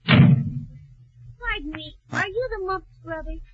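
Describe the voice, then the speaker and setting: A woman's voice: extremely high pitch, slow, background noise. A woman playing a chirpy, flirty, giggly aspiring actress in a vintage radio comedy. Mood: bright, coy. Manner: giggly, gushing. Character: chirpy, gushing, flirty female actress seeking work. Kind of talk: 1930s-40s radio comedy acting. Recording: dull old radio broadcast recording.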